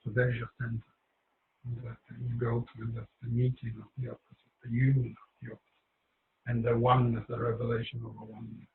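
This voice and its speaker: A man giving an informal talk about his book, hoarse and losing his voice, rambling with many fillers and restarts. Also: mid-range voice, monotone, a little fast, echoey room, narrow, tinny audio, a little hiss or hum.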